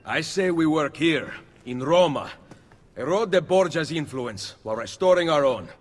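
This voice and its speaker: A man's voice: fairly high pitch, animated, normal speed. An actor playing a resolute male assassin hero with an Italian accent, decisive and earnest in a video game drama. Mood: determined. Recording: long reverb, music behind.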